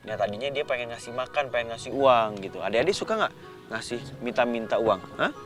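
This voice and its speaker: A man's voice: mid-range voice, lively intonation, fast, music in the background. A man telling a story to children in an informal, chatty way, often putting questions to his young listeners.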